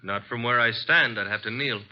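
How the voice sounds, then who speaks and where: A man playing a brisk, wry detective's assistant in a vintage radio mystery, matter-of-fact in delivery. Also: mid-range voice, natural voice. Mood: businesslike, tough. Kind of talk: 1940s-50s radio mystery acting. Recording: dull vintage radio recording.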